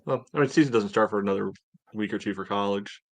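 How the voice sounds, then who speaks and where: Lowish-voiced man co-hosting, dry close sound. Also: quick pace, dry recording. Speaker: sports podcast co-host. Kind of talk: casual sports talk.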